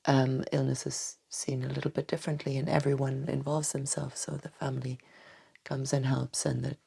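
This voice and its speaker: A woman from Tanzania with a low, gravelly voice that stays dark and husky. She answers at a measured, hesitant pace, often breaking off and restarting her phrases before they trail off softly. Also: level tone. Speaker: doctor and acupuncturist, podcast guest. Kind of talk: reflective interview answers. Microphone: podcast microphone.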